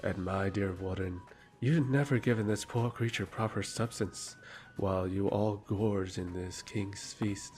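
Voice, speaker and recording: A man playing a haughty, sardonic noble lord in an audio drama, speaking in a pompous, measured, formal way. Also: on the low side, darkish tone, soft background music, lightly acted.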